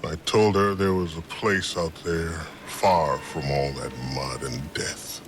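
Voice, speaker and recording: Sad gruff war-worn dad in a game cutscene, really low n slow, bit of background noise. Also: male voice. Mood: somber, wistful. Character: weary, grieving older father, hardened war survivor. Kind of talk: video game cutscene acting.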